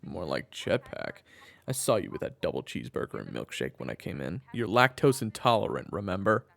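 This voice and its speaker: A man's voice: sits low, sing-song, brisk, creaky, fairly bright tone, no echo, heavily acted. A man playing a weary, protective family man with a criminal past in an audio drama, both narrating and speaking in a hesitant way. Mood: playful. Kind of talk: audio roleplay acting with first-person narration.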